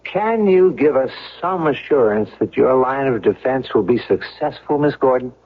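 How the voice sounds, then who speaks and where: A man's voice: medium pitch, expressive, moderate pace, phone-quality sound, lightly acted. An actor playing a hesitant, reasoning man, tentative and placating, in a radio mystery drama. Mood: concerned. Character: reasoning, hesitant male friend of the accused. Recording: thin, band-limited old radio broadcast.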